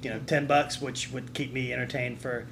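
A man's voice: mid-range voice, little pitch movement, fairly quick, a bit bright. A man chatting casually as a panelist on a podcast. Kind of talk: casual chat. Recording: noticeable background noise.

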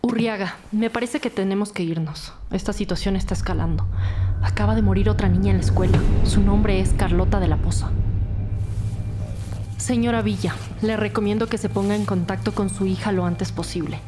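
A woman's voice: medium pitch, fairly even intonation, fairly quick, natural voice. Authoritative female detective, acted by a woman. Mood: urgent. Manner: polite, direct, formal. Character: businesslike, authoritative senior female police detective. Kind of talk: Spanish-language audio crime drama acting. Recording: music bed behind the voice.

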